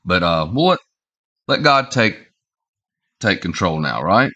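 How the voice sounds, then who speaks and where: A man in his forties with a head cold, his voice stuffy and nasal. He talks in a rambling, halting way, full of fillers and restarts, and his lowish voice has a forceful, bright edge. He is recorded on a webcam in a small room at home. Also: big pitch swings. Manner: rambling, halting, many fillers and repeated starts. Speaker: online commentator. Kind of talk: casual opinion vlog.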